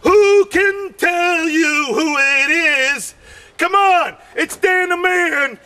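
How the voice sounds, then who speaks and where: A man doing a rambling stand-up comedy act, shouting his punchlines and losing his voice. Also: very high-pitched, very slow. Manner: rambling, shouts punchlines, keeps saying "man" and "you know".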